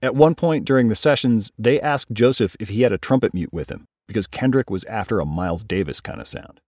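A man hosting a podcast, narrating a scripted story in a measured, explanatory way. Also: fairly low pitch, expressive, a little mellow, dry recording, studio quality. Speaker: podcast host.

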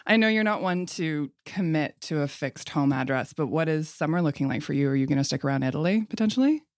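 A woman hosts a podcast interview in a friendly, chatty way, laughing easily as she asks her questions. Her voice sits at a middle pitch and sounds close and dry. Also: lively intonation, quick pace, dry recording. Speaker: host.

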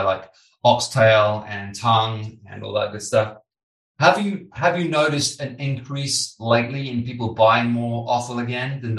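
Deep-voiced British host, roomy sound. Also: male voice, a bit bright. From Britain. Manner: casual, lots of fillers like "like" and "you know". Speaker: podcast host. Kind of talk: conversational interview. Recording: roomy, slightly distant mic.

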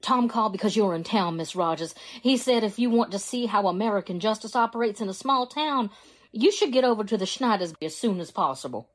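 A woman plays a worried small-town mother in old-style radio drama. Her medium-pitched voice is grave and earnest, acted in period manner. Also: lively intonation, fairly quick, natural voice.

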